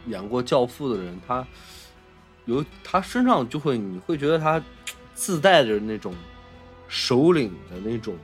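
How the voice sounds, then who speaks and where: A man co-hosting a podcast, casually discussing film in short, halting phrases. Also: medium pitch, big pitch swings, faint music behind. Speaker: podcast co-host.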